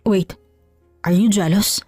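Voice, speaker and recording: An earnest, concerned husband in an audio drama, as a female narrator plays him. The voice sits lowered and measured. The recording is dry, with music behind it. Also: a little mellow, no echo. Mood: calm, coaxing. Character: calm husband, earnest and concerned adult man.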